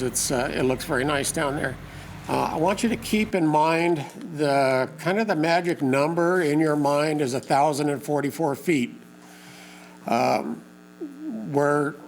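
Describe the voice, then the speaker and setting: A hoarse man who is losing his voice speaks at a community meeting, pausing often with fillers in plain, folksy wording. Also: fairly high pitch, slight background noise, poor audio. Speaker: community meeting organizer and lake info page host. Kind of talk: informal public talk to a local meeting.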